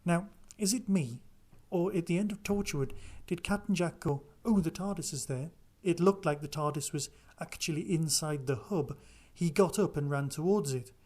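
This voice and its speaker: A man presents his podcast in a casual, conversational style, talking through news and reviews on his own. His voice sits a little higher than average for a man. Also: normal speed, few pauses, darkish tone. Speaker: podcast host. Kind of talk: casual solo podcast review and listener chat.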